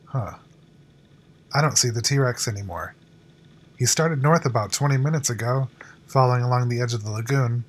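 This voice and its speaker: A man acts as a puzzled control-room technician. His voice sits at a middle pitch, in the manner of a fan-made audio drama. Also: moderate pace, long pauses, close to an everyday voice.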